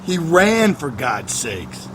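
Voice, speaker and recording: An actor playing an impatient, dismissive, stubborn police detective in a naturalistic video game cutscene. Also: male voice, on the high side, very animated, slow pace. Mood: exasperated, certain. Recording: clear car engine hum.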